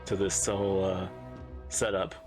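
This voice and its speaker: A woman's voice: very deep voice, flat delivery, a little slow, darkish tone. A woman streamer giving casual, joking reaction commentary as she talks back to a video game. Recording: game music behind the voice.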